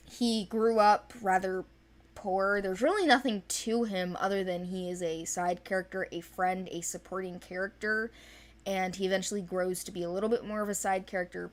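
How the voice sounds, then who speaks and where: Casual young woman, chatty. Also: medium pitch. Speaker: animated series creator presenting characters. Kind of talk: casual unscripted character overview.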